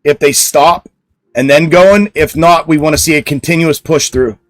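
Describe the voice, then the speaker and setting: A man hosting a live stock trading stream, talking with a loud speaking voice and answering viewers bluntly. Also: fairly high pitch, moderate pace, dry recording, low-quality recording. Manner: blunt, answers chat comments directly. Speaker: stock trading livestream host. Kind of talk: casual livestream commentary.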